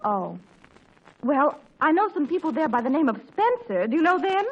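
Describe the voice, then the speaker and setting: A woman's voice: high-pitched, sing-song, normal speed. A woman playing a genteel, fluttery middle-aged mother in a 1940s radio sitcom, with gushing, hesitant, sociable talk. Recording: muffled 1940s radio recording.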